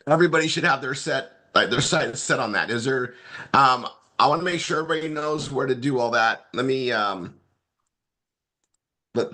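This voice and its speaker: A man with a mid-range voice that is a little hoarse and shot from a late night. He leads an online training over a video call in a loose, rambling way, often restarting phrases. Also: bright, forceful tone. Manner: loose, repetitive, upbeat pitch. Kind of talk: live webinar training.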